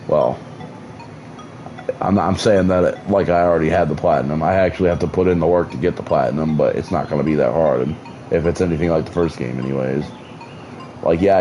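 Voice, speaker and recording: A man's voice: rumbling low, fairly quick, warm tone, soft background music, poor audio. A man streaming a game, chatting casually in a rambling way with many restarts and casual swearing, sounding like he is losing his voice. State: losing his voice, mouth numb. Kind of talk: casual gameplay commentary.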